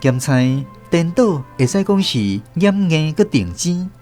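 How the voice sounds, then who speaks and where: Taiwanese man narrating with lively, swooping pitch. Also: unhurried, faint music behind. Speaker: host and narrator. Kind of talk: expressive scripted storytelling narration.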